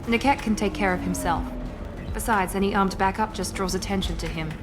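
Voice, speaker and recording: A woman's voice: mid-range voice, a little fast, over music, natural voice. An actress playing a confident, controlled female operative in a science fiction video game. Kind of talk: scripted video game dialogue.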